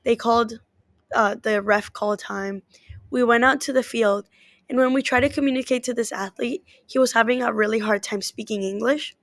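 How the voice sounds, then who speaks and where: A woman's voice: fairly high pitch, normal speed, no room sound. A woman whose first language is Spanish, an athletic trainer answering interview questions in an earnest, upbeat way.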